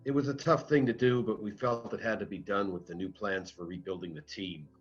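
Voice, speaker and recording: An actor playing a businesslike baseball general manager in a dramatic script reading. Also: male voice, lowish voice, steady tone, fairly quick, natural voice. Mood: businesslike, regretful. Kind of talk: dramatic table read. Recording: muffled lo-fi sound, music behind.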